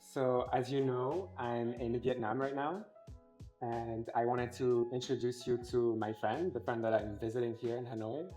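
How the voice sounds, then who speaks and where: A woman's voice: rumbling low, fairly even intonation, normal speed, over music. A young woman hosting a travel vlog, talking casually and hesitantly with restarts. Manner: friendly, hesitant, lots of "like" and restarts. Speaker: vlogger host.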